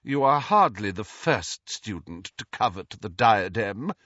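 A man's voice: medium pitch, sing-song, a little slow, fairly bright tone, slightly rough, dry recording, muffled recording, pitched above the performer's own voice, exaggerated voice. A man voicing a proud, cold young woman ghost in an audiobook, with a stiff, disdainful and guarded manner. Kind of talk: audiobook character voice.

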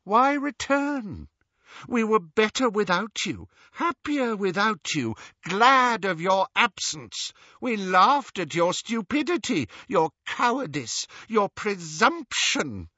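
Casting: sneering phantom double of a teenage boy for an audiobook, played by a man, pitched high, drawn-out, cruelly mocking. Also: very animated, sharp tone, dry recording, highs cut off, exaggerated voice. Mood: cruel taunting.

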